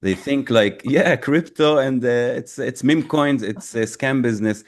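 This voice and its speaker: A man who is a native Arabic speaker, answering interview questions as a crypto educator, haltingly searching for words. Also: medium pitch. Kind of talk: interview answers, personal story.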